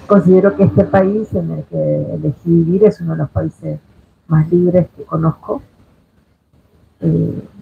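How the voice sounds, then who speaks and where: A woman's voice: fairly low pitch, vocal fry. A woman in her fifties from Chile, speaking as a panelist in a discussion, reflective and hesitant with many fillers. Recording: tinny lo-fi sound, faint noise.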